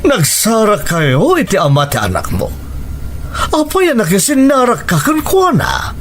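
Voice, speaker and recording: A man's voice: sits high, wildly swooping pitch, a little slow, a bit bright, soft background music, exaggerated voice. A man with an Ilocano accent playing a concerned, protective uncle in an audio drama, solemn and admonishing. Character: concerned, protective uncle advising his niece. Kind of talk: Ilocano radio-style drama acting. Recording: faint background noise and ambience.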